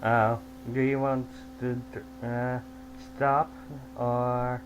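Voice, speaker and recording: A man hosting a casual online call, explaining things slowly with halting restarts. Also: mid-range voice, little pitch movement, muffled recording, crowd noise. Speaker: host. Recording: faint mains hum behind.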